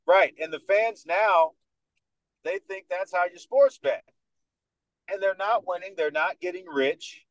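A man in his thirties with a Dominican American accent, speaking as a podcast guest in a confident, animated way. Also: high voice, measured pace, dry recording, muffled recording. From United States, New York. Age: 30s. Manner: confident, animated storyteller who acts out other people's lines. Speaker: guest, sports radio host. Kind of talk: casual podcast interview.

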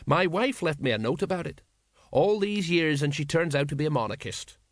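A man's voice: a little high, animated, brisk, no echo, clean studio sound, voice pushed up, lightly acted. A man voicing a grumbling, easily rattled older police sergeant, emphatic in manner, as an audiobook character.

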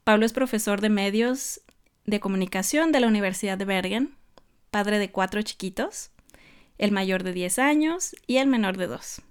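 A woman hosting a podcast, reading a scripted introduction and then welcoming her guest in a measured way. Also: mid-range voice, clear, resonant tone. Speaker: podcast host.

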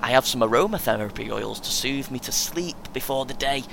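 An actor playing a chatty, self-important young British amateur runner in an audio play, earnest and keen, chuckling often. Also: male voice, expressive, a little fast, vocal fry. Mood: advising, keen. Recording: faint vehicle noise behind. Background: vehicle rumble, coach ambience.

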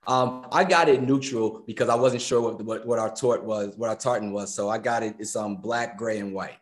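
A man's voice: fairly low pitch, steady tone, moderate pace. An American man of about fifty chatting casually as an interview guest, talkative and repeating phrases for emphasis. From United States. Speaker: guest, personal trainer and musician.

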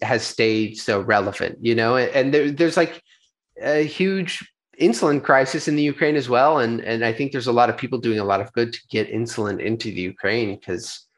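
A man's voice: mid-range voice, fairly bright tone. A young adult man, an actor, chatting casually in an interview, laughing easily and restarting his sentences. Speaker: actor and filmmaker guest.

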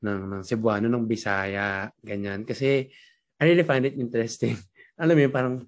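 A man's voice: mid-range voice, steady tone, fairly quick. A young Filipino man with a Filipino accent hosting a casual interview, hesitant with many fillers as he frames questions. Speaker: podcast host.

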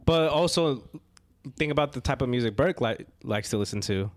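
A man's voice: medium pitch, lively intonation, normal speed. An easygoing man chatting on a podcast, often laughing. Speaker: podcast co-host. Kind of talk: casual chat.

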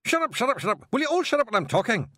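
A man's voice: high-pitched, big pitch swings, normal speed, a bit bright, no echo, studio quality, pitched above the performer's own voice, full character voice. A man doing a blustering Scottish bearded stranger. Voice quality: gruff, blustering. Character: bossy bearded stranger, several little men.

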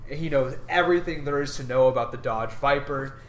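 A man's voice: mid-range voice, a little fast, a little hiss or hum. A young man co-hosting a casual podcast, talking in an earnest, rambling, upbeat way. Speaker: podcast co-host. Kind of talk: casual chat.

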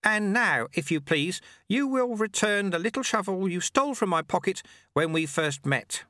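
A man voicing a little bald wrinkly old man who is sly, kindly and gently teasing. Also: animated, normal speed, dry recording, studio quality. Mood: amused kindly. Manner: genial, gently teasing, high and bright.

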